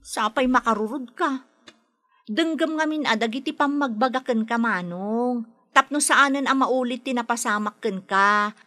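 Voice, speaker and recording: A concerned younger sister who scolds her brother in an Ilocano radio drama. The voice is bright, forceful and rather high, with an Ilocano Filipino accent. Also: normal speed. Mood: scolding. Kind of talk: Philippine radio drama acting.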